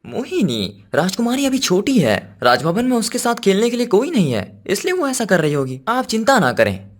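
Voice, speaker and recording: A man's voice: high-pitched, huge pitch swings, moderate pace, heavily acted. A man voicing a protective, authoritative king and father who speaks in a formal, regal manner in a cartoon story. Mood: reassuring. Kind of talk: Hindi cartoon moral story dialogue.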